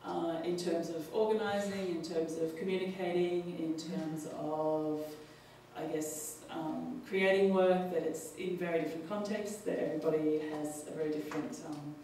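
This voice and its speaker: An Australian woman speaking at a panel discussion, halting often with fillers and restarts. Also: low voice, fairly even intonation, mellow. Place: large public hall. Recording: echoey hall, distant mic, faint noise.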